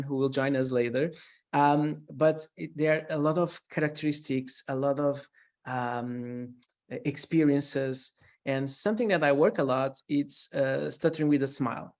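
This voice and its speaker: A man's voice: on the high side, vocal fry, a little mellow. Hesitant male webinar host. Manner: hesitant, many fillers and restarts. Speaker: host and speech therapist.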